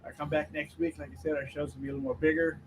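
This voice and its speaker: Man, soft-spoken, quiet voice, fairly high pitch, podcast co-host. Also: level tone, rapid-fire, background noise. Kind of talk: casual review chat.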